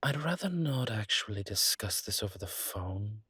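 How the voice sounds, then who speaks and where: A man playing a courteous, wry vampire with formal, hesitant phrasing and a husky voice in an audio roleplay. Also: on the low side, lively intonation, moderate pace, bright, forceful tone, no echo, studio quality, heavily acted. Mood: discreet, matter-of-fact. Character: courteous, wry adult male vampire wary of daylight. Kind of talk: one-sided audio roleplay acting.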